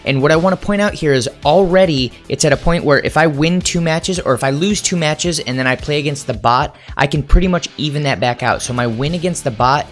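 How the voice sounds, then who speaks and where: A man's voice: a little high, fairly quick, hardly any pauses, fairly bright tone. A man talking as a gaming YouTuber, explaining and advising viewers directly in a conversational commentary style. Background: background music.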